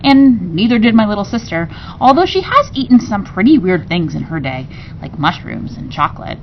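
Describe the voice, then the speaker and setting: A woman reading a storybook aloud expressively, pausing to explain things to her listeners. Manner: expressive, acts out dialogue, pauses to explain pictures. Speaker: teacher reading aloud to students. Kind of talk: storybook read-aloud with asides. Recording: muffled recording with clear rumbling noise.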